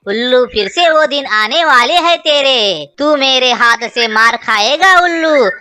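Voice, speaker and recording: A woman's voice: high voice, expressive, unhurried, pushed and bright, dry recording, studio quality, heavily acted. A woman voicing a hapless, henpecked male crow husband in a children's cartoon, pleading and melodramatic. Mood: angry, threatening. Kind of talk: children's cartoon story dialogue.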